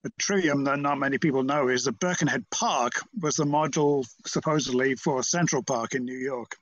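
A man's voice: mid-range voice, normal speed, muffled recording. A British man in his seventies from Merseyside, talking reflectively as an interview guest in a hesitant, rambling, understated way. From Merseyside, England. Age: 70s.

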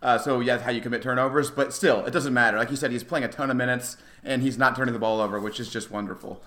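A man's voice: mid-range voice, steady tone, quick pace, fairly bright tone. A man co-hosting a sports podcast, chatting informally in a casual back-and-forth style. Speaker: podcast co-host. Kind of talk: casual basketball podcast chat.

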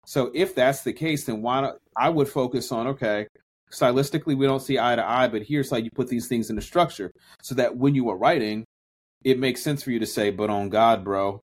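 A man co-hosting a podcast, talking through ideas in a casual, reflective way with frequent restarts. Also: medium pitch. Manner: thoughtful, restarts often, drawn-out phrases. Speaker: podcast co-host. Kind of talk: casual discussion.

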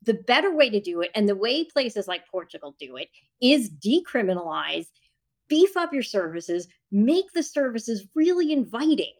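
A woman with a fairly high voice speaks as a guest in a debate-style interview. She argues insistently, laying out explanations point by point. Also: very animated, moderate pace. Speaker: guest, author and journalist.

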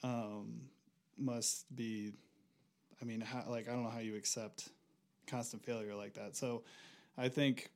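A young man speaking as a podcast guest, reflective and hesitant, working through his thoughts with frequent pauses. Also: medium pitch, level tone, a little mellow. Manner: reflective, hesitant, many fillers and restarts. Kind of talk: casual conversation.

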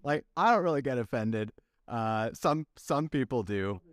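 A man's voice: medium pitch, lively intonation, measured pace, no room sound, studio quality. A man who grew up in Canada hosting a podcast, talking in an easygoing, conversational way. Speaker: podcast host.

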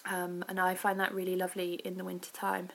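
A woman hosting a podcast as an informal, reflective solo chat, with hedging asides and a creaky voice. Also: on the low side, completely flat delivery, brisk, a bit bright. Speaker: podcast host.

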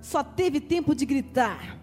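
A narrator reading a radio drama in a measured storytelling manner. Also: sits high, lively intonation, darkish tone, soft background music.

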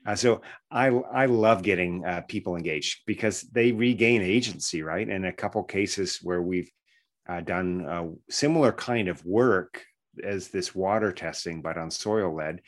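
A man's voice: deep voice, measured pace. A man talking conversationally as an interview guest, explaining things step by step like a researcher.

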